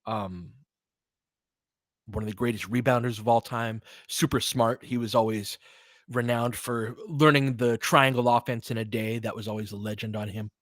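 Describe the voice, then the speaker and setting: A man's voice: medium pitch, steady tone, moderate pace, fairly bright tone, no room sound, studio quality. A man hosting a sports stream in a casual, conversational way, thinking aloud and qualifying his opinions as he answers listeners. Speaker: sports livestream host.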